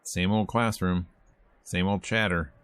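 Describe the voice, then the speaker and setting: A man's voice: low-pitched, steady tone, unhurried, fairly bright tone, smooth, no echo. A man playing a game on stream, reading its text aloud with joking, casual commentary and acting out lines. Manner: joking, acts out lines as he reads. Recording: game music playing behind. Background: visual novel music and character voices.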